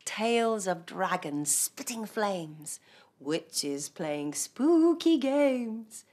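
Breathy woman reading a bedtime rhyme, slow and lively, goes all whispery. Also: mid-range voice. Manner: soft, hushed storytelling that drops to whispers. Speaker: bedtime story reader. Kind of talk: reading a rhyming picture book aloud. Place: cosy studio set.

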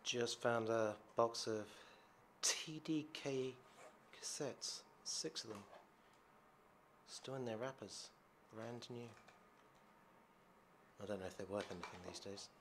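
A man's voice: mid-range voice, slow, pauses often, a bit bright, a little hiss or hum. A man casually narrating as he explores an abandoned hospital, chatty and curious, explaining what he finds. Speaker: urban explorer host.

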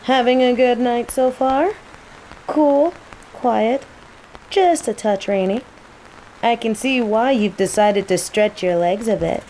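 An actress playing a teasing young nature mage and rival apprentice in a roleplay audio drama, playful and taunting. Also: female voice, fairly high pitch, slow, low-quality recording. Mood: teasing, smug. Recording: steady rain noise behind. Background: rain.

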